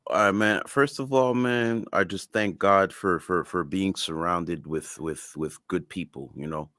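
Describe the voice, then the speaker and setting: A man's voice: low-pitched, a little slow, a bit bright, no echo, studio quality. A man whose first language is French, speaking English as a music artist guest in a casual interview, earnest and emphatic.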